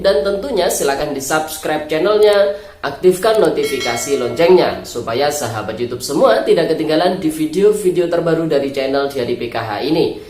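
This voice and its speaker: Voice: medium pitch, darkish tone. Nasal, congested Indonesian YouTube host, echoey room. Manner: addresses viewers repeatedly, explanatory. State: stuffed-up nose from flu. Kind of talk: informational vlog narration, reading out an official letter. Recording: roomy echo on the voice.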